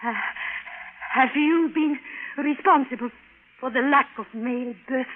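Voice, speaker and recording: An actress playing a shy, anxious young Russian rocket pilot in a 1950s radio drama, with a hesitant, stammering delivery. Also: female voice, sits high, a little slow, narrow, tinny audio. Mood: nervous, frightened. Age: young adult. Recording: noisy vintage radio recording.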